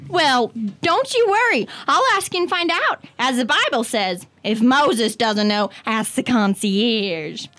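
A woman's voice: a little high, expressive, takes it slowly, few pauses, a bit bright. A young woman playing a nosy, chatty female American tourist who gushes and rambles. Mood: nosy, gushing.

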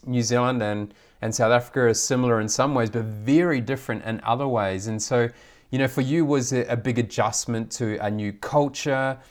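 A male host interviews his guest with friendly, long, wandering questions. His voice sits in a mid range and his tone stays conversational. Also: mid-range voice. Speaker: podcast host.

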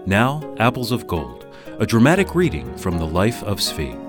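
Male announcer, lowish animated voice, dramatic scripted intro with prominent music underneath. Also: moderate pace. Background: music behind the voice.

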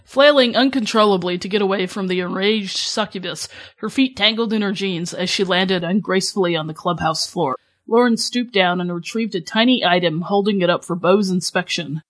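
Woman narrating a story, mid-pitched voice. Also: normal speed. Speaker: podcast host, author reading own story.

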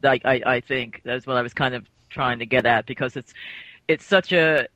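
A man moderating a casual panel with halting, meandering questions. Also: mid-range voice, pushed and bright, dry recording, muffled recording. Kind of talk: casual chat.

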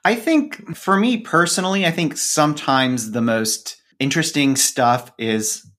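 A man's voice: fairly high pitch, measured pace, fairly bright tone. A man speaking casually as an interviewed podcast co-host, with a wry, dryly humorous way of talking.

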